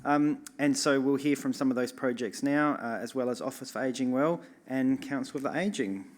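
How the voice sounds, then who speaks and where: A man chairs a conference session with a mid-pitched voice. He talks casually and hesitantly, filling gaps with many fillers as he introduces the next speakers. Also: moderate pace. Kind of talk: conference presentation.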